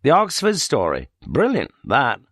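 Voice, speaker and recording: A man's voice: medium pitch, wildly swooping pitch, measured pace, a bit bright, dry recording, studio quality, voice pushed up, full character voice. A man voicing an earnest, helpful police sergeant in an audiobook reading. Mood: eager. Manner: keen, helpful.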